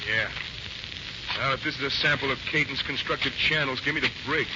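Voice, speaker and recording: A man's voice: medium pitch, fairly quick, close to an everyday voice. An actor playing a needling, slangy American Marine private who teases his buddy, in 1940s radio acting style. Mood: wry. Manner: slangy, teasing. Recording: muffled, very noisy 1940s radio recording.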